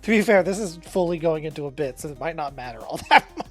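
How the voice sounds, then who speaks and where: Female tabletop player, slightly low register, casual conversational delivery with music behind. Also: animated, fast. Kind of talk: casual chat.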